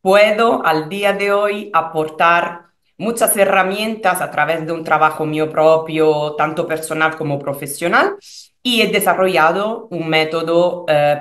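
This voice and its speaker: A woman in her thirties from Italy, with an Italian accent, answering interview questions as a relationship coach over a video call in a room at home, expressive and pausing with fillers. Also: low voice, roomy sound. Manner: expressive, searching for words with fillers. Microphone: video call webcam.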